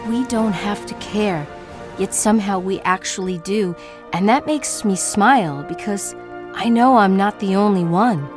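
A woman playing an introspective artist in a poetic dramatic monologue, speaking with deliberate, theatrical phrasing. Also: mid-range voice, music in the background, lightly acted. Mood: earnest. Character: introspective woman artist baring her thoughts, by turns tender and defiant.

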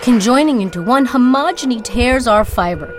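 A woman performing a dramatic spoken-word monologue as narrator, with theatrical, deliberate, incantatory phrasing. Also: a little high, animated, very noisy.

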